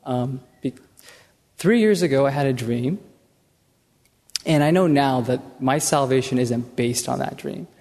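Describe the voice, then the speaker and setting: A man's voice: medium pitch, measured pace, pauses often, a little mellow. A man from the audience asking a question, speaking earnestly and hesitantly with frequent restarts. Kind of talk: questions from the floor. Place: large church auditorium. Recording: long reverb of a large hall.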